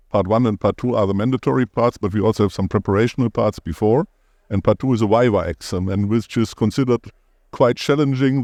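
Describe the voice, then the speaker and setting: A man with a German accent, a guest anesthesiologist explaining things in a measured way. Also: lowish voice, darkish tone, dry recording, clean studio sound. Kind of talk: conference podcast interview.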